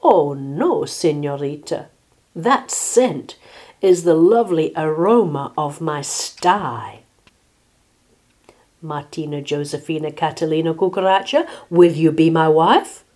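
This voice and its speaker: A woman's voice: low-pitched, slow pace, a little mellow. Woman doing a blustery, smug pig suitor. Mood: smug, courting. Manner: snorting, blustery, bossy. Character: smelly, boorish male pig suitor, smug and demanding.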